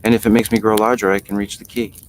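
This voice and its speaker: Storybook little girl, curious and self-questioning, talking to herself, read by a low-voiced man, noisy home recording. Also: flat delivery, fast, close to an everyday voice. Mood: determined. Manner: musing aloud to herself. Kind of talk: storybook character reading.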